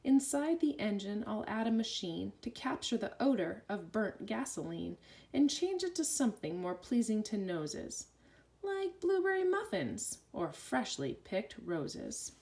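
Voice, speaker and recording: A woman reading a rhyming children's story aloud with an expressive, sing-song delivery. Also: medium pitch, measured pace, gravelly.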